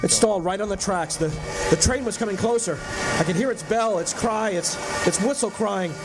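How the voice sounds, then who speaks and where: A man acts out a haunted American motorist in a radio play. His slightly high voice is earnest and uneasy, prone to stammering, with music clearly underneath. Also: moderate pace, low-quality recording. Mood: mounting panic. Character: haunted, anxious male motorist narrating his road trip. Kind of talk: dramatic first-person radio drama narration and dialogue.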